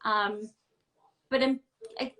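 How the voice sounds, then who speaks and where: A woman's voice: a little high, fairly even intonation, slow, pushed and bright. A woman talking candidly and emphatically from home in a casual interview, with lots of "like" and "um". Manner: candid and emphatic, many fillers like "like" and "um". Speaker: interview guest, ballet dancer. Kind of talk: casual interview over a video call. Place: home, ground floor apartment.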